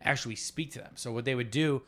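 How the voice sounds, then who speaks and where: A man's voice: medium pitch, fast talker. A man co-hosting a comedy podcast in casual, profane, argumentative banter. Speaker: podcast co-host.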